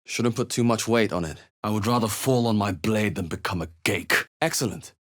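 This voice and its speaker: An actor voicing a measured, wry male adventurer in a fantasy video game, with understated, dry remarks. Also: fairly low pitch, no echo, natural voice. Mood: wry, muttering. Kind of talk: video game character voice lines.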